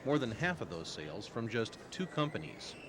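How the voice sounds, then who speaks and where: A man's voice: medium pitch, very animated, normal speed. A man TV news reporter giving a scripted report on an archival 1980s broadcast. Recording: busy crowd noise behind, 1980s TV.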